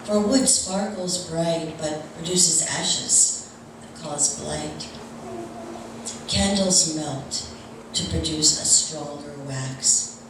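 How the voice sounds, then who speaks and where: A woman speaks to a congregation in a low voice at an unhurried, slow pace. The recording is heavily echoed and distant, as in a large room at a church service. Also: noisy background. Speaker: service speaker giving announcements and readings. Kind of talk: church announcements and reading aloud.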